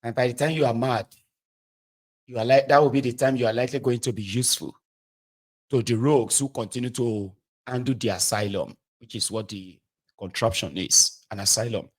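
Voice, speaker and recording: Emphatic Nigerian man in his forties. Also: brisk. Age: 40s. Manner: emphatic, rhetorical, swings between loud outbursts and low asides. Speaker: political commentator. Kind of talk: impassioned political monologue.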